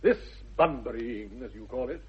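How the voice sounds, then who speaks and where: A man playing an exasperated, stuffy upper-class English gentleman, clipped and indignant, in a radio comedy. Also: medium pitch, animated, moderate pace, lightly acted. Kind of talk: 1960s radio comedy of manners acting. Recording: dull 1960s radio recording.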